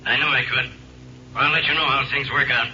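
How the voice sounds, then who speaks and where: An American man playing a brisk, grave army general in a 1950s radio drama, businesslike and urgent. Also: mid-range voice, steady tone, pushed and bright. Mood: grave, matter-of-fact. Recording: dull, noisy 1950s radio recording.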